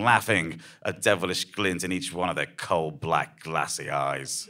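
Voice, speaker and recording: A man reading scripted dramatic narration live on stage, in a measured, deadpan manner. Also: lowish voice.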